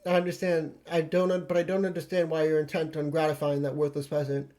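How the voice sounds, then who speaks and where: A man's voice: fairly high pitch, flat delivery, fast, dark tone, lightly acted. A man voicing a haughty, easily angered aristocratic troll who speaks in formal, curt, pompous phrases. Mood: curt, disdainful.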